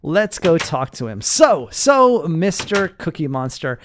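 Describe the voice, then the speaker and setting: A man hosting a gaming video with casual, playful, excitable roleplay commentary. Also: on the high side, takes it slowly, slight background noise.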